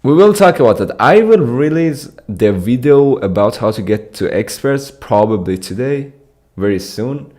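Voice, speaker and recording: A man's voice: medium pitch, moderate pace. A young man from Iran, a competitive programming streamer, casually answering live chat while reading it aloud. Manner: relaxed, reads and answers chat. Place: small dim room with pink light. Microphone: studio mic on arm.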